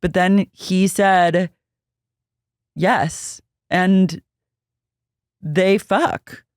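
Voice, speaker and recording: A woman with a deep voice co-hosting a podcast, recapping gossip in a casual, deadpan, wry way. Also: extremely slow, no echo, clean studio sound. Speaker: podcast co-host. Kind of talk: casual chat.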